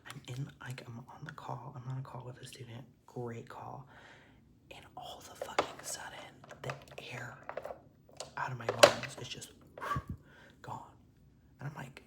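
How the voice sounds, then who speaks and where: A man's voice: mid-range voice, little pitch movement, measured pace, creaky. Young man whispering a rambling storytime. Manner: soft whisper, rambling with many "like"s and casual swearing. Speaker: vlogger. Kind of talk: whispered ASMR storytime while doing makeup.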